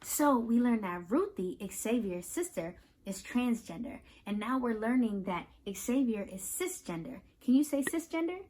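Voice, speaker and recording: A woman with a high voice reads aloud for children as a host and teacher. Her pitch swings widely as she explains things step by step. She speaks straight to the listener, checking in with simple questions. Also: unhurried, few pauses, slight background noise. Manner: animated, instructive, addresses listeners with questions. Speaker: host reading a picture book aloud to children.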